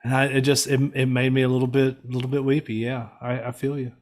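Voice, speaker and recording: A man's voice: medium pitch, flat delivery, fast talker. A man who is a regular on a podcast, telling a self-deprecating story in casual banter.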